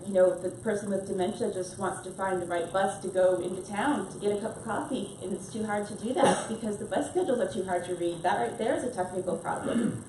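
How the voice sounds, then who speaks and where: A woman's voice: mid-range voice, dark tone. A woman speaking as a lecturer on a panel, earnest and questioning. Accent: non-Scottish. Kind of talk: panel discussion remarks. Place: conference room, panel event. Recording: distant, echoey, faint noise.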